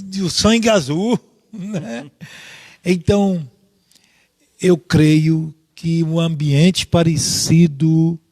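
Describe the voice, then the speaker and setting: A man with a Brazilian accent teaching a Bible study in a deliberate, halting way, often checking in with listeners. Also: on the high side, darkish tone, dry recording, clean studio sound. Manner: deliberate, halting, with frequent rhetorical check-ins.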